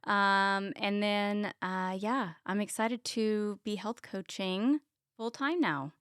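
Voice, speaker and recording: A woman in her thirties with a Texan accent talks loosely as a guest on an interview show, filling pauses with ums. Her voice sounds smooth and clear. The recording is dry and close. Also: medium pitch, fairly bright tone, no echo. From Texas. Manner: chatty, many ums, laughs at herself. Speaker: guest, health coach. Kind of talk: casual interview chat.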